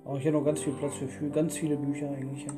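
A man's voice: on the high side, level tone, very fast. A man giving casual, easygoing gameplay commentary as a let's player, with murmured asides. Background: music.

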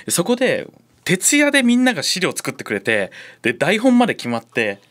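Male radio host, slightly high and very lively, colloquial and self-deprecating. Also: fairly quick. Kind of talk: casual advice chat.

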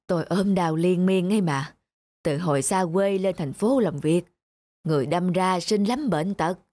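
A woman's voice: lowish voice, moderate pace, dark tone, no room sound, close to an everyday voice. A woman voicing a weary, kindly, regretful old man giving reflective advice in a cartoon. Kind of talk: moral-tale cartoon dubbing.

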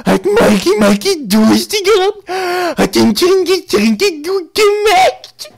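A dotty, prickly old great-aunt from a storybook. The voice is very high, shrill and loud, swooping all over. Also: male voice, big pitch swings, takes it slowly, vocal fry, a little hiss or hum, heavily acted. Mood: cooing. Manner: shrill, loud, cooing or snippy. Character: batty old great-aunt, prickly, doting on stuffed weasel.

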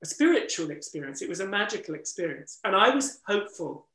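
Bright-voiced Englishman, strained, roomy echo. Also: high voice, very animated, a little fast. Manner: eloquent, earnest, reflective. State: voice strained from disuse. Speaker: interviewer and wildlife trust ambassador. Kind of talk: webinar conversation. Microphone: video call.

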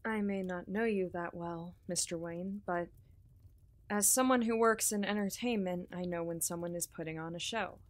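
A woman's voice: lowish voice, moderate pace, natural voice. A woman playing a bold, ambitious reporter in an audio drama, speaking directly and persuasively with confidence. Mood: blunt, confident. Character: bold, shrewd, ambitious female entertainment reporter.

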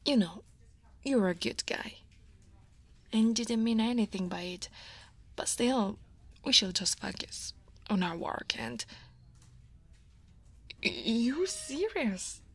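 A woman from Italy voicing a guarded but teasing female dragon office senior in a soft, breathy ASMR roleplay. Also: fairly high pitch, lively intonation, slow pace, pauses often, dry recording, exaggerated voice. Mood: teasing, flustered. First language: Italian. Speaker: voice actor.

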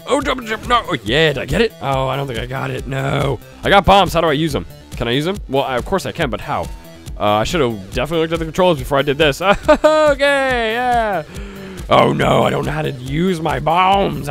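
A young man with a cold and a stuffy voice, giving excited let's-play commentary on a game and shouting at it now and then. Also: mid-range voice. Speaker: gaming YouTuber.

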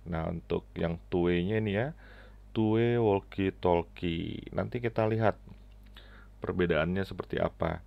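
Casting: middle-aged man, tutorial presenter, very gravelly and unhurried, halting phrasing, slightly muffled headset sound. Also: sits low, no echo. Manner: halting, short phrases with frequent tag words. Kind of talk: unscripted app tutorial.

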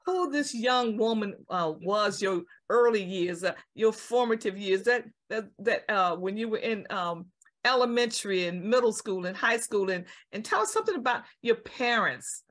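A woman hosting a talk show and interviewing a guest, with playful, animated interjections. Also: medium pitch, moderate pace.

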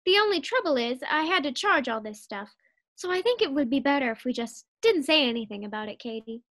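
Woman acting 1940s radio comedy wife, scatterbrained, cheerful, very high voice, lively intonation. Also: brisk. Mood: chirpy. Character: scatterbrained, scheming, cheerful young housewife Liz.

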